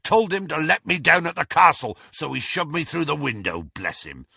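Audiobook giant: hearty, loyal, rough-spoken, forceful husky delivery, gruff colloquial talk. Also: male voice, fairly high pitch, very animated, normal speed, dry recording, clean studio sound, pitched above the performer's own voice, full character voice. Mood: grim, gruff. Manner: bellowing, panting, gruff, colloquial.

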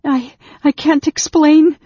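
A narrator of a fantasy audiobook voicing a frightened young female mage who pleads in a halting, stammering way. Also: medium pitch, steady tone, warm tone, slightly rough, pitched above the performer's own voice, full character voice. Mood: distressed, pleading. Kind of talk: dramatized fantasy audiobook dialogue. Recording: echoey, dull recording.

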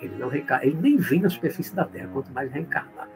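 A man from Olinda, explaining spiritual ideas in a live talk and answering viewer comments, often checking in with a quick "right?". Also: medium pitch, animated, vocal fry, music in the background. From Brazil, born in Olinda, Pernambuco. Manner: explanatory, tag questions, reads comments aloud then answers.

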